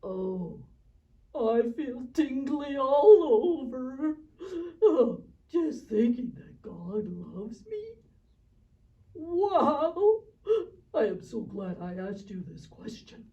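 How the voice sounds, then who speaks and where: A woman voicing a naive, curious, excitable little frog in a children's church puppet show. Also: high voice, drawn-out words, far from the mic, lo-fi sound, full character voice. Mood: touched, grateful. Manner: childlike, wide-eyed, exclaiming.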